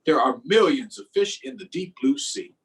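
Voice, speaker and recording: A man voicing gentle, wise fish parents from a picture book, tenderly encouraging, picked up from a little distance. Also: a little high, very animated, normal speed. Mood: warm, wise.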